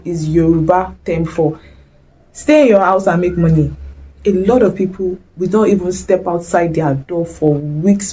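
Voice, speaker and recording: Chatty Nigerian woman, mellow voice. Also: fairly low pitch, husky, poor audio. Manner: chatty, exclamatory, mixes in Nigerian slang. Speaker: vlogger. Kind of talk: casual motivational talk to camera.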